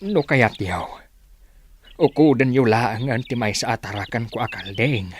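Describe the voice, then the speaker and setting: A man's voice: medium pitch, measured pace, close to an everyday voice. A man playing a poor, humble village father with an Ilocano accent, pleading yet firm to protect his child in a radio drama. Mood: weary, conciliatory. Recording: noisy voice recording.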